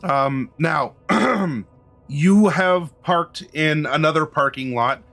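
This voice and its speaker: A man with a squeaky voice narrating a tabletop game as game master, halting and often clearing his throat. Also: fairly bright tone, faint music behind.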